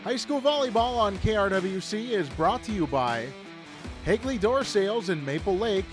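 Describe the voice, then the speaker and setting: Lively high-pitched American radio ad man. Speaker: radio commercial announcer. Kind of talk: scripted ad read. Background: music.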